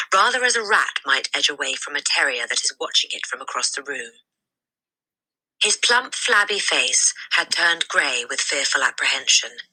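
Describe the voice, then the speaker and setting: A woman reading a children's story aloud as a teacher, in expressive scripted narration. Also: sits low, husky, poor audio.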